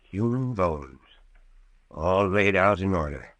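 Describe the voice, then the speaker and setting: Old radio drama country doc, low voice, folksy, cautious, kinda grumbly. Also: male voice, animated, slow, a bit bright, dry recording, exaggerated voice. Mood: uneasy, grumbling. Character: cautious, grumbling folksy small-town doctor, uneasy sidekick.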